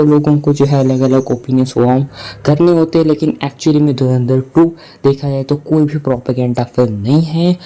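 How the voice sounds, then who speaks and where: A man's voice: medium pitch, dark tone. A man with an Indian accent giving a film breakdown commentary in a wordy explainer manner, mixing in English words. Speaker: movie commentary YouTuber.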